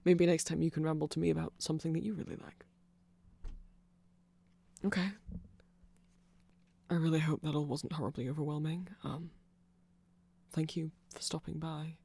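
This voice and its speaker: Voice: medium pitch, steady tone, moderate pace, vocal fry, pauses often, mellow. A performer voicing a shy, apologetic nerdy vampire in an ASMR roleplay, speaking in a hesitant, rambling way. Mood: shy, flustered. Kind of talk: ASMR roleplay addressed to the listener.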